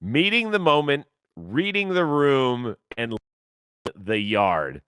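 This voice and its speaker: A man hosting a casual sports talk show, a little hoarse this morning, talking in an animated, energetic way and reading sponsor copy with energy. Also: mid-range voice, takes it slowly, very noisy. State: a little hoarse from lack of sleep. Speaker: host.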